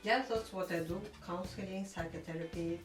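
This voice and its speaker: A woman with a notably low voice gives careful, measured answers with pauses. The room adds a clear echo. Music plays plainly in the background. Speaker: counsellor guest. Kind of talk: interview answers. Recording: echoey room with music behind.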